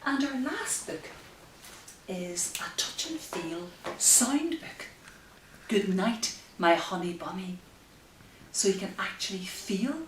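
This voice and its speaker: A woman reading picture books aloud to young children, gentle and expressive, with drawn-out phrases and hushed asides. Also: on the low side. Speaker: story time host. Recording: roomy, distant mic with faint hiss.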